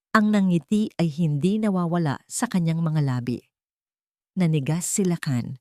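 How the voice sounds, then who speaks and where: A woman's voice: fairly low pitch, a little mellow, no echo, studio quality. A woman narrating a scripted romance audio drama in a dramatic storytelling style.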